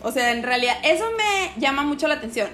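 A woman's voice: sits high, quick pace, a little hiss or hum. A young Mexican woman with a Mexican accent, chatting casually as an interview guest, full of slang and acting out what others said. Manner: slangy, animated, quotes others in voices.

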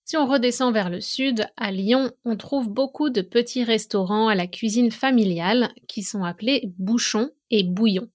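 A woman's voice: fairly high pitch, moderate pace, hardly any pauses. A woman from France giving an informal educational monologue as a teacher and host, explaining in an orderly way with examples. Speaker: French language and culture teacher, podcast host.

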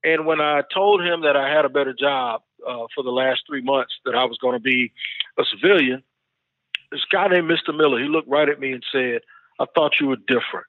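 A man's voice: medium pitch, brisk, no room sound. Man on a dry, tinny call line. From United States. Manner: reflective, earnest storytelling. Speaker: podcast host and barber. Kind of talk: roundtable discussion.

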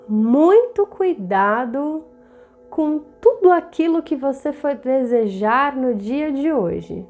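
A woman with a Brazilian accent narrating a guided meditation in a soothing, deliberate manner, in short measured phrases. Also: a little high, a little mellow. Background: meditative background music.

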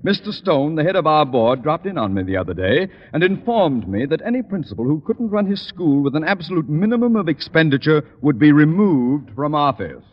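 Blustering, pompous school principal, swooping voice. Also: male voice, fairly high pitch, big pitch swings, a little fast, hardly any pauses. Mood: grumbling, self-righteous. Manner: pompous, exasperated, imperious. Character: blustering, pompous, ill-tempered middle-aged school principal and father. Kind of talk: 1940s-50s radio sitcom acting. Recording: muffled, noisy vintage radio recording, echoey hall.

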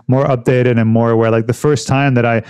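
A man's voice: mid-range voice, steady tone, fairly quick, a little mellow, clean tone. Dutch man with an American-leaning accent. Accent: Dutch-tinged American. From Netherlands. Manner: rambling, many restarts and fillers. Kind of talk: casual conversation.

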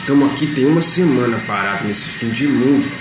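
A man playing a restless, grumbling circus juggler in an audio drama, with a Brazilian accent and halting, repetitive complaints. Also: medium pitch, lively intonation, over music, natural voice. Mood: fed up. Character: grumbling, restless male circus juggler stuck without work. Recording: roomy, noisy amateur recording.

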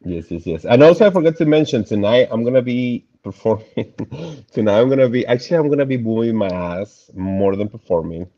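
A young man from Argentina, a guest chatting casually in an interview, laughing often and trailing off softly. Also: mid-range voice, animated, a little fast. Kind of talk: casual chat. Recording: dull lo-fi remote audio.

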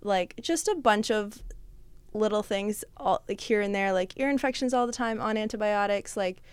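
Chatty young woman with a smooth voice. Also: medium pitch, normal speed, dry recording. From Russia. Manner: chatty, many fillers like "like" and "um", laughs often. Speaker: podcast guest. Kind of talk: casual chat.

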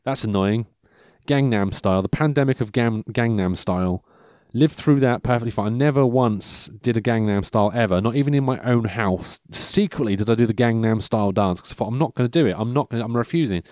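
A man's voice: mid-range voice, a little fast, a little mellow, no echo. A man in his mid-thirties chatting casually in a meandering, self-interrupting way, losing his train of thought, with dry self-mocking humour. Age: 30s. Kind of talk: rambling casual monologue.

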